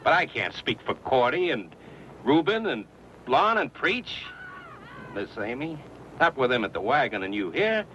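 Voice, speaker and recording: A man's voice: mid-range voice, very animated, measured pace, pushed and bright. An actor playing a firm, wary American frontiersman with terse, folksy speech in a western radio drama. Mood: wary, reasoning. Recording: muffled lo-fi radio recording, background hum.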